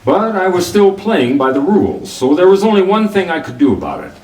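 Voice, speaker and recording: An actor playing a wry, hardboiled private detective, narrating a noir radio drama in a clipped way. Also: male voice, high voice, very animated, fairly quick, a little mellow, soft background music. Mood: wry, brisk. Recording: roomy, distant mic, faint noise.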